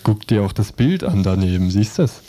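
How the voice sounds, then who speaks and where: A man's voice: fairly low pitch, very animated, normal speed, warm tone, no echo. A man co-hosting a radio press review, reading newspaper reports and commenting on them. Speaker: radio co-host.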